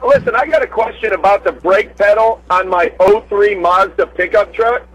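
A man's voice: high voice, normal speed, fairly bright tone, husky, no room sound, rough recording. A man calling a radio show with a car question, friendly and talkative. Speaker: caller. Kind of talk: casual call-in question.

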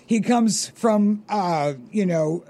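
Male radio host, high mellow voice, bubbly and chatty, stretches words. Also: takes it slowly. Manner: bubbly, laughs easily, stretches words for emphasis. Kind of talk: upbeat radio interview.

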